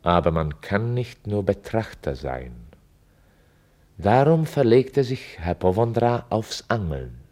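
An actor as a wry, musing male narrator of a radio play, with a husky, mellow voice. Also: low-pitched, full character voice.

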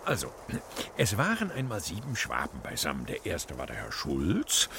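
A man's voice: rumbling low, huge pitch swings, moderate pace, background noise, exaggerated voice. A man playing a fussy, pedantic storyteller and scholar who is easily flustered, in a children's radio play. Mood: lecturing. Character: fussy, indignant scholarly fairy-tale author, adult man.

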